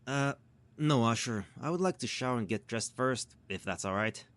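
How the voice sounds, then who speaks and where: A man's voice: medium pitch, normal speed, a bit bright, close to an everyday voice. A man playing a weary, grumbling station doctor in a scripted audio drama, hesitant and easily exasperated. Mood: groggy, polite.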